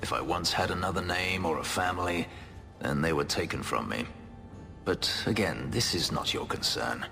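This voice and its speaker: An actor playing a brooding, guarded elf who escaped slavery, speaking in a measured, formal, wryly understated way in a video game. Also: male voice, lively intonation, gravelly, sharp tone, exaggerated voice. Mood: bitter, pained. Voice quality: deep, low voice. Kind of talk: dramatic video game acting. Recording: long reverb, music behind.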